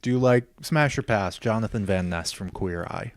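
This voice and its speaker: Deadpan low-voiced man, dry delivery. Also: normal speed, dry recording. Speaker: podcast co-host. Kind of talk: casual chat.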